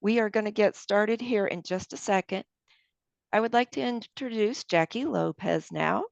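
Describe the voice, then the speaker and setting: Brief: woman cooking instructor, gravelly tone, dry lo-fi sound, explains steps as she goes. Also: medium pitch, moderate pace, dry recording. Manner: friendly, explains each step aloud while working. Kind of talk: step-by-step cooking demonstration. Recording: dull lo-fi sound.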